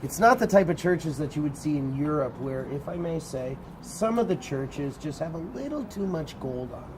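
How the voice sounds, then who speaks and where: Guy tour guide with a big booming voice, chatty and animated, traffic noise all around outside. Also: normal speed. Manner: animated, conversational explaining to a group. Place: outdoors at a garden site. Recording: outdoor street noise.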